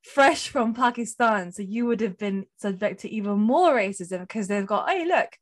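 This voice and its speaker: A woman's voice: medium pitch, expressive, normal speed. A woman interviewing a guest, affirming and encouraging, often saying "yeah" and "of course" as she listens. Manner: affirming, frequent "yeah" backchannels. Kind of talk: empathetic interview.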